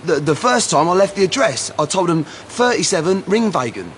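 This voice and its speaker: A hapless young-sounding male constable with a high, swooping voice. He is timid and plaintive, stumbling defensively through his answers in a radio drama, with faint noise behind him. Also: sing-song, moderate pace. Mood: flustered, defensive. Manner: hesitant, flustered, defensive, plaintive. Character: hapless, dim, timid male patrol policeman.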